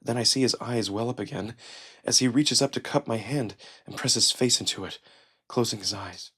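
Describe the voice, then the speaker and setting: A man narrating a first-person story dramatically, with expressive, deliberate pauses. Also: mid-range voice, slightly rough. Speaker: narrator and performer. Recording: music behind the voice. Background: music.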